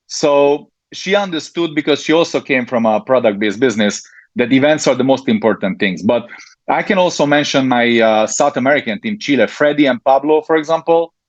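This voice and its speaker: Brief: Hungarian-accented man, guest in an interview, halting, full of ums, dry lo-fi audio. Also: mid-range voice, expressive, no echo. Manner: hesitant, frequent ums and restarts. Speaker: network marketing leader, guest. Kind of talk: interview answers.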